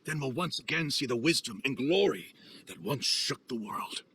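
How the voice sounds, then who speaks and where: An actor playing a dignified, heartfelt desert mercenary leader in a video game, speaking in a solemn, measured way. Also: male voice, mid-range voice, lively intonation, bright, forceful tone, a little hiss or hum. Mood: solemn, admiring. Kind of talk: video game cutscene voice acting. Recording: faint music behind voice.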